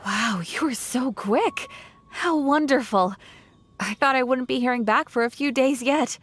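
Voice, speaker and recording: A woman's voice: sits high, unhurried, fairly bright tone, soft background music. A woman playing a friendly, playful townswoman in a fantasy video game, chatty and gracious. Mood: impressed, pleased. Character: friendly, teasing female shopkeeper in fantasy town.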